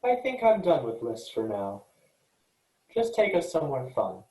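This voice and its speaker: Excitable yet careful starship programmer guy read by an actor, lively swooping pitch, mellow tone, roomy sound. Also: normal speed. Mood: wry, easygoing. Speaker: actor in a student play reading. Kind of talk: virtual staged reading of a sci-fi comedy. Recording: roomy echo.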